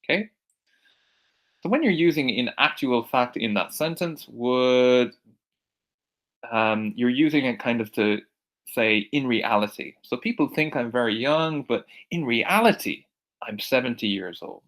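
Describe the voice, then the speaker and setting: A man from Ireland with an American-sounding accent teaching English vocabulary, repeating each word slowly and clearly for learners. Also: mid-range voice, animated, fairly bright tone. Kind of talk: recorded vocabulary lesson.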